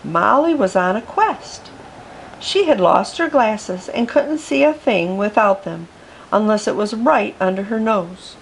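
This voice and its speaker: A woman reading a children's picture book aloud for storytime, with gentle, expressive narration. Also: mid-range voice, a little slow, vocal fry, a little hiss or hum, rough recording. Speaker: storytime reader.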